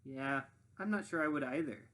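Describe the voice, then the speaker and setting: A man narrating a children's story expressively as a read-aloud teacher, pausing to muse and put questions to listeners. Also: on the high side. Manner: animated, pausing to muse and prompt.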